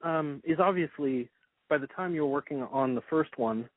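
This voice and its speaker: A man's voice: on the high side, normal speed, no echo. American man interviewing, dry phone-like sound. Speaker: podcast interviewer. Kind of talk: casual conversational interviewing.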